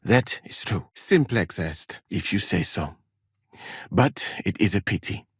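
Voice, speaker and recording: A man playing a courteous, learned foreign physician in a medieval video game, speaking in measured, formal phrases with calm thoughtfulness. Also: deep voice, slightly rough, no echo, exaggerated voice. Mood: calm, thoughtful.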